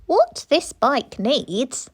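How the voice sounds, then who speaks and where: Woman playing a slow, emphatic, determined storybook lady cyclist. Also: sits high, huge pitch swings, darkish tone, pitched above the performer's own voice, exaggerated voice. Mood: determined, pondering. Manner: slow, emphatic, drawn-out delivery. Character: determined, resourceful, cheery eccentric woman cyclist. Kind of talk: children's storybook read-aloud.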